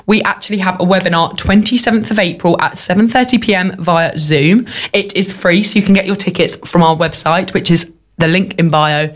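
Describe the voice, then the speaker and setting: A woman's voice: fairly low pitch, a little fast. A young woman with a stuffy cold voice, co-hosting a talk show in casual chat, full of "like" and "um". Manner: chatty, many fillers like "like" and "um". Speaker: podcast co-host.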